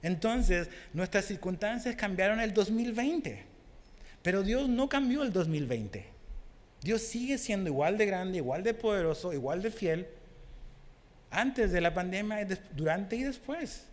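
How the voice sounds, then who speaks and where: A man's voice: high voice, expressive, unhurried, in a large hall. A young man from Chile with a Chilean accent touched by Mexican Spanish, preaching a conversational sermon as pastor and often asking the congregation questions. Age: young adult. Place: church hall.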